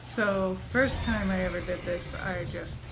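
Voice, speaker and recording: A woman's voice: lowish voice, measured pace, noisy background. A woman giving casual, chatty commentary while she plays a video game. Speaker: game streamer. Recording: game music behind.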